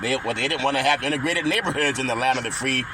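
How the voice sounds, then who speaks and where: A man hosting a sports talk radio show, animated and quick with interjections, asking a guest questions. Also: medium pitch, crowd noise. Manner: animated, chanting, laughs often, quick interjections. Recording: noisy radio broadcast.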